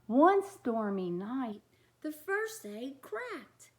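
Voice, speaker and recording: A woman with a high voice reads a picture book to young children. Her delivery is very slow and full of lively swings, and she steps out of the text to chat straight to the listeners. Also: a little hiss or hum. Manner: expressive, talks directly to young listeners. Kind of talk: animated read-aloud with chatty asides.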